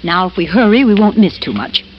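An actress playing a headstrong, composed unmarried woman in old-time radio drama, brisk and dismissive. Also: mid-range voice, very animated, loud music behind the voice, natural voice. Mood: firm, brisk. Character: headstrong, unflappable unmarried woman brushing fear aside. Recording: muffled vintage radio recording, faint noise.

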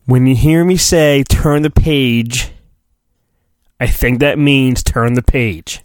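A man's voice: mid-range voice, steady tone, takes it slowly, no echo, studio quality. A man hosting a podcast, casual and self-deprecating, reading a children's storybook aloud in a playful mock-dramatic way. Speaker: podcast host.

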